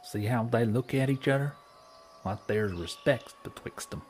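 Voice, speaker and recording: An actor playing a folksy, earnest frontier guide with a drawling rural Western American accent in a western audio drama. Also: male voice, medium pitch, moderate pace, a little hiss or hum, lightly acted. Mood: hushed, explaining.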